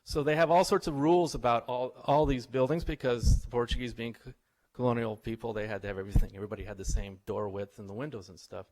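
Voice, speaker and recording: A middle-aged man lecturing to a live audience in a relaxed, anecdotal way. He wanders through stories, restarts his sentences and keeps checking in with listeners. His voice sits at an ordinary, mid-range pitch for a man. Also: fast, few pauses, rough recording. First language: English. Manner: chatty, anecdotal, asks the audience questions. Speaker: visiting professor giving a talk.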